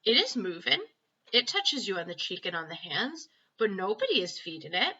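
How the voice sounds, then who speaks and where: A woman's voice: medium pitch, big pitch swings, moderate pace, sharp tone, breathy edge, lo-fi sound, full character voice. A woman voicing a curious, polite little girl in a storybook, eager and questioning. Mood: puzzled.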